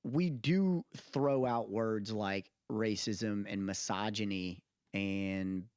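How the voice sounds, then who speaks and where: A man's voice: on the low side, slow, darkish tone, clean tone, no room sound, clean studio sound. A man co-hosting a podcast in casual, opinionated chat, rambling and emphatic, often checking that he is being followed. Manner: rambling, emphatic, often checks with listener "you know what I mean". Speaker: podcast co-host. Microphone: close mic on remote podcast call.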